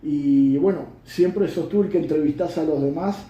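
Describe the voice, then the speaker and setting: A man's voice: fairly high pitch, moderate pace, warm tone. A Uruguayan man casually putting questions to a guest as interviewer. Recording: echoey room, distant mic.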